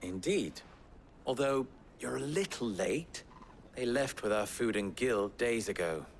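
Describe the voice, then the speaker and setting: Wry, animated man sly town leader. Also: mid-range voice, a little slow, vocal fry, a little hiss or hum. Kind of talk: dramatic game cutscene acting.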